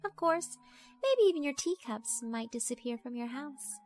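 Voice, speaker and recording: Lady doing spooky teasing yokai schoolgirl, really high voice, elegant but predatory, bit of music behind. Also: animated, normal speed, darkish tone. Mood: mischievous, knowing. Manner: teasing, politely condescending. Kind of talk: horror visual novel voice acting.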